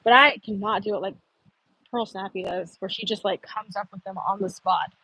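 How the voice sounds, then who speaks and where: A woman's voice: mid-range voice, fairly even intonation, moderate pace, sharp tone, slightly rough, poor audio. A woman live-streaming from a phone on a gimbal, chatting casually with her viewers with lots of fillers and giggles. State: a little thirsty. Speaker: livestreamer.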